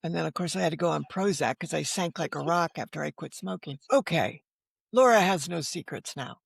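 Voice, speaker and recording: A woman's voice: deep voice, expressive, brisk, creaky, breathy edge, no echo, studio quality. An older woman telling her own story candidly as an interview guest, frank, wry and self-deprecating, often quoting what people said. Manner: quotes dialogue while telling stories. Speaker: podcast guest, novelist.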